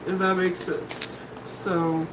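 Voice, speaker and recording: Slow, deep-voiced woman reading tarot. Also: level tone, distant mic, background noise. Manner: blunt and candid, swears, laughs between points. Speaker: tarot reader. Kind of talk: casual collective tarot reading.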